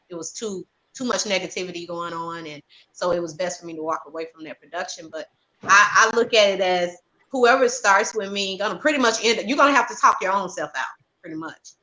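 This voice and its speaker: Bright, forceful-voiced woman. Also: lowish voice, a little fast, low-quality recording. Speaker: stage play writer-director. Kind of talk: panel chat.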